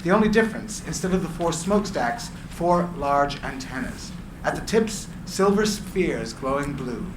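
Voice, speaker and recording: A man reading screenplay stage directions as narrator, with deliberate descriptive phrasing. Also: a little high, expressive. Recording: echoey room, clear background noise.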